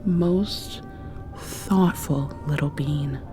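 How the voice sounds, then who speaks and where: A woman doing an ASMR roleplay, drifting between whispers and soft speech in an affectionate, playfully scolding way. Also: low voice, extremely slow, music in the background.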